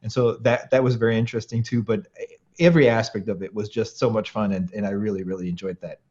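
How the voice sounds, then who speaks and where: A man's voice: fairly low pitch, fairly even intonation, fast talker, darkish tone, dull audio. A man in his late thirties from Austria, a harpsichordist answering interview questions in a thoughtful way, with many fillers and restarts and frequent light laughter. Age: late 30s. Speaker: guest harpsichordist. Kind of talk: interview conversation.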